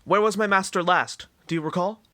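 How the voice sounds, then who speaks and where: A man's voice: high voice, a little fast, pushed and bright. A man playing a proper, put-out manservant who announces guests, formal and fussy, in an amateur audio drama. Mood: dutiful. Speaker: household servant.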